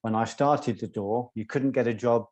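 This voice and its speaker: A soft-spoken man, a guest telling stories in an interview in a measured, articulate way. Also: medium pitch, no echo. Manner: measured, articulate, occasional ums. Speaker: guest, former doorman. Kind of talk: reflective interview storytelling.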